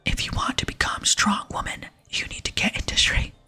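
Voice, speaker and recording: A woman's voice: fairly quick, pushed and bright. A woman whispering the game dialogue of a tough, teasing, motivational barbarian trainer in ASMR style. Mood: motivating. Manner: blunt, coaching, whispered.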